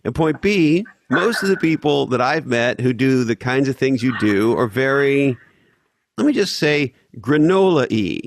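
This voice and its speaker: A man's voice: medium pitch, lively intonation, a little slow, creaky, a little hiss or hum. A man hosting a podcast, teasing his guest and swearing casually. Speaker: podcast host.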